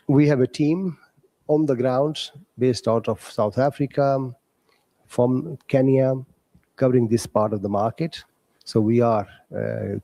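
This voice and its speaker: A man introducing himself and his company at a trade expo, polite and a little halting. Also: lowish voice, mellow, dry recording. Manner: polite, brief, slightly halting. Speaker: company director. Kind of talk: introduction speech.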